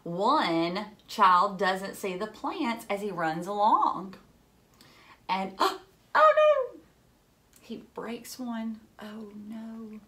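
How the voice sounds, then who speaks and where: A woman's voice: mid-range voice, extremely slow. A woman in her thirties reading a picture book aloud to young children, expressively and with dramatic emphasis and little asides. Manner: animated, dramatic gasps and hushed moments, simple questions to children. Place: furnished room at home, indoors.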